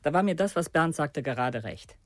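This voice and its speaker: An actor playing a troubled, distrustful young man in a German radio crime drama, speaking in halting confession. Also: fairly high pitch, expressive, no echo, close to an everyday voice. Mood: halting, confessional.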